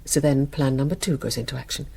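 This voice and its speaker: An actress playing a suspicious, wounded middle-aged wife with a sarcastic, pointed manner in a naturalistic radio drama. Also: female voice, very deep voice, steady tone, fast, creaky, dark tone, husky, exaggerated voice.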